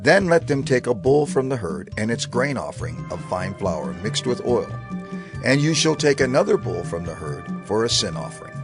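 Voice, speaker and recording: Man, scripture reader, mid pitch, animated intonation, formal instruction, backing music. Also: normal speed. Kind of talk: dramatized scripture reading.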